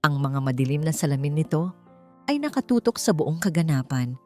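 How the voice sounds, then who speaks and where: A Filipino woman narrates a scripted drama in a fairly low voice. Her delivery is deliberate, theatrical and full of feeling. Faint music plays behind her. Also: sing-song, dark tone. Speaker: storyteller. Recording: roomy sound with faint music.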